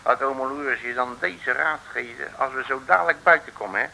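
An actor playing a wily, bossy, jovial detective with a folksy manner in a 1950s Dutch radio serial. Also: male voice, medium pitch, fairly quick, gravelly, bright, forceful tone, a little hiss or hum, rough recording. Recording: old radio drama recording.

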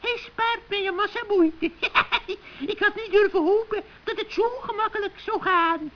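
Shrill, scheming old witch. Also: a little slow. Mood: gloating. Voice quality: shrill, cackling. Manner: taunting, mock-sweet, scornful. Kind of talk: 1960s children's radio play acting. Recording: dull, muffled old radio recording.

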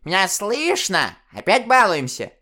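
A man's voice: high-pitched, huge pitch swings, a little slow, pushed and bright, pitched above the performer's own voice, exaggerated voice. Man voicing a cranky old granny dispatcher. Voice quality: crackly, heard through a speaker. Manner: scolding, cranky. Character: grumpy old female lift dispatcher. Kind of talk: audiobook character voice.

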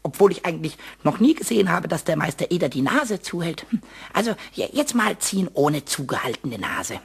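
A man playing a small childlike kobold in a German radio play, naive and melodramatic, with a squeaky croak and stammered, repeated exclamations. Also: sing-song, a little fast, full character voice. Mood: curious, musing.

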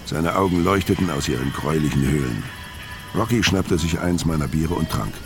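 A man's voice: very deep voice, lively intonation, normal speed, over music. An actor playing a brooding, hard-drinking fugitive killer who narrates his story tersely in a crime radio play. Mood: brooding, matter-of-fact.

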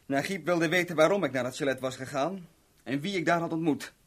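A man's voice: on the high side, brisk, natural voice. An actor playing a cocky, scheming small-time crook in a 1970s Dutch radio drama, chummy and mock-friendly as he boasts and explains. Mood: chummy, explaining.

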